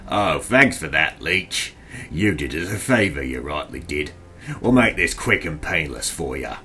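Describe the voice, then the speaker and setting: An actor playing a cruel, hot-tempered human vampire hunter in a fantasy audio drama, with jeering, rough speech. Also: animated, measured pace, pushed and bright, full character voice. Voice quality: very deep, husky.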